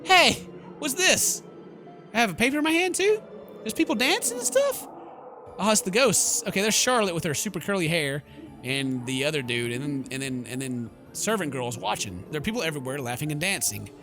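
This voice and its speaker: A man's voice: fairly high pitch, very animated, fairly quick. A young man hosting a gameplay video, reading story text aloud theatrically and throwing in jokey asides. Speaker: gaming video host. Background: game music.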